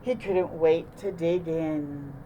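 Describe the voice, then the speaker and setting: A woman reads a children's story aloud as a storytime host. Her voice is soft-toned and unhurried, expressive and deliberate, with clear noise in the background. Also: sits low, low-quality recording. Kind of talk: children's picture book narration.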